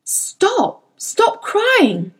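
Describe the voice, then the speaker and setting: A woman's voice: extremely high pitch, wildly swooping pitch, drawn-out words, voice pushed up, exaggerated voice. A woman voicing a concerned young boy in an audiobook, direct and urgent, speaking in short questions and commands. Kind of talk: dramatized audiobook character voice.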